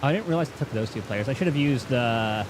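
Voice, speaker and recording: A man's voice: medium pitch, lively intonation, quick pace, noisy background. A man giving casual, offhand live commentary as he streams a game, chatty and informal.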